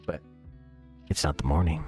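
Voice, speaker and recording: A man's voice: very deep voice, fairly even intonation, normal speed, a little mellow, faint music behind. Rambling, joking game dev streamer, a man. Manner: rambling, joking, self-interrupting. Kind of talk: casual livestream chat while working. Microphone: studio mic with pop filter.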